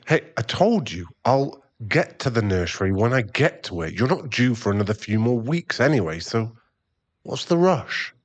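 A man's voice: mid-range voice, big pitch swings, normal speed. A man playing an irritable, defensive, hard-drinking werewolf boyfriend and expectant father in an ASMR roleplay audio drama, given to sighs and snappish remarks. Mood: weary, defensive.

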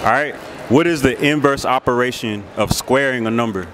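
A young man hosting a street quiz in a shopping mall, with a relaxed manner and quick acknowledgements, speaking into a phone held up as a mic. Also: mid-range voice, noisy background. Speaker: street interviewer host. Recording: mall with loud music and crowd. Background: loud music and crowd chatter.

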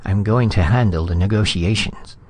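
A man playing an unflappable junior diplomat in a storybook reading, calm, terse and polite but firm. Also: lowish voice, moderate pace, slightly rough. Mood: curt. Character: cool, unflappable, curt male junior diplomat.

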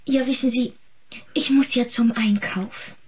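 A woman's voice: a little high, fairly even intonation, a little slow, darkish tone, dull audio, lightly acted. An actress playing a meek but sly servant woman in a radio play, hesitant and deferential in her circling phrases. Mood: meek, wheedling.

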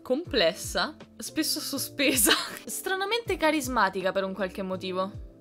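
A young woman with a notably bright, forward voice talks casually through fan questions. She is expressive and playful, with music playing faintly underneath. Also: fairly high pitch, a little slow. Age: young adult. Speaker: dubbing content creator answering fan questions. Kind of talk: casual question-and-answer chat.

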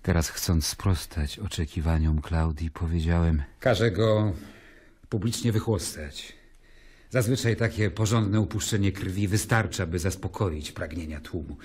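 An actor playing a weary, troubled Roman governor, narrating in a measured, deliberate way in a radio play. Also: male voice, deep voice, a little mellow, slightly rough. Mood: troubled, reflective. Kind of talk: dramatic first-person narration in a radio play.

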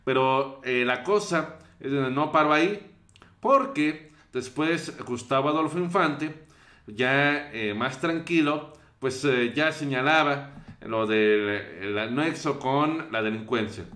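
A young Mexican man talks through celebrity news clips from a small bedroom, often pausing on fillers. His mid-pitched voice carries a roomy echo off bare walls. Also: sharp tone. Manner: hesitant, many fillers. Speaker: show host. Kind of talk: commentary over news clips.

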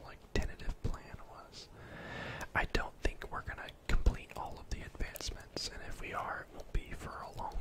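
A young man whispering casual, gently chatty ASMR game commentary in a soft, breathy voice. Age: young adult. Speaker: gaming ASMR video host. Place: indoor room at home.

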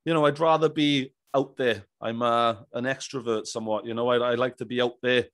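A man's voice: mid-range voice, fairly even intonation, brisk, fairly bright tone, dry recording. Jovial man hosting, very dry sound. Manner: chummy, jovial. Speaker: podcast host. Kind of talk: casual interview chat. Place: small indoor room. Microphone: close boom-arm microphone with headphones.

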